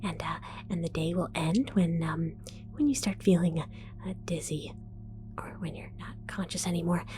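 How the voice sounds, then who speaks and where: A woman voicing a scatterbrained female mad scientist in an ASMR roleplay, whispering softly, giggling and stammering as she falsely reassures. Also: fairly low pitch, fairly even intonation, normal speed, lightly acted. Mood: sly whisper. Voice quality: soft whisper.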